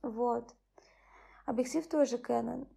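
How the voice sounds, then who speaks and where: A tired young woman hosting her own channel, speaking softly and subdued as she reads a bedtime story and chats about her day. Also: takes it slowly, gravelly, breathy edge. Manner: soft, subdued, low-key. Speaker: channel host. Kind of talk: bedtime story reading and personal chat.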